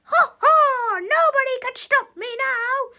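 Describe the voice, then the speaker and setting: Loud, high, cackling supervillainess. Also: female voice, slow, full character voice. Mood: gloating. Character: gleefully evil bionic supervillain woman.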